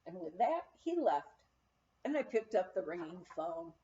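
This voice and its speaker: A woman reading an anecdotal memoir aloud as an expressive storyteller. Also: lowish voice, measured pace, lo-fi sound. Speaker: audiobook reader.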